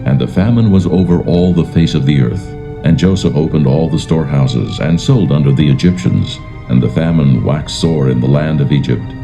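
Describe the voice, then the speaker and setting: A man narrating dramatised scripture in a solemn, measured, formal manner. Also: rumbling low, gravelly. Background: faint music.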